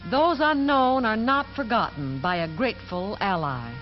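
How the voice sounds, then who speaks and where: A woman narrating a wartime newsreel with measured, theatrical phrasing in a 1940s style. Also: a little high, music in the background. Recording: dull vintage 1940s newsreel soundtrack.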